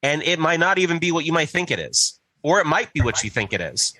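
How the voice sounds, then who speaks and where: A man co-hosting a podcast in casual, sweary talk, building up exaggerated points in halting, repetitive phrases. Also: a little high, very animated, sharp tone, no room sound. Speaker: podcast co-host. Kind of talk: casual, profane group chat.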